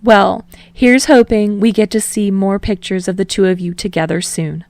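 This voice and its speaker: A prying celebrity interviewer acted by a woman reader presses with knowing eagerness. The voice has some creak and sits close and dry, in audio-story style. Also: mid-range voice, fairly even intonation, normal speed, a little mellow, no room sound, natural voice. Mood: prying, playful.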